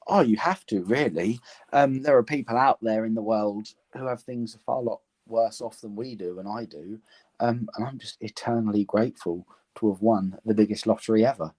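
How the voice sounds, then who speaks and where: Soft-spoken man with an English accent. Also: on the low side, normal speed. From Romania. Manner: earnest, thoughtful, many ums. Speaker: interview guest. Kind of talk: reflective conversation.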